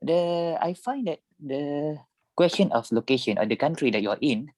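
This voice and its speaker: A man's voice: a little high, expressive, normal speed, a little mellow. A man in casual conversation on a video call, chatty and laughing at his own remarks as he presents.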